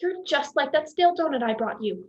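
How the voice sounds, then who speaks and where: Here is a young woman acting as a fiercely protective middle-aged mom in a student stage play. She is doting and confrontational, with a very high, swooping voice. The room around her is echoey. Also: expressive, brisk, muffled recording. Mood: doting, proud. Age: young adult. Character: protective, confrontational, doting 46-year-old mother.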